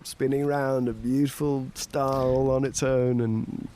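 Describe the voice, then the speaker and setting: A middle-aged man from Portugal chats as a podcast guest in a loose, rambling way. He laughs often and wanders between thoughts. His voice sits at an ordinary pitch for a man. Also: little pitch movement, unhurried, background noise. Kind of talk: casual conversation.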